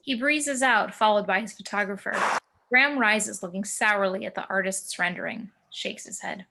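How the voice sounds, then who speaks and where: A woman's voice: medium pitch, moderate pace, noisy background. A woman giving scripted narration of screenplay action and scene directions at a table read. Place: remote video call.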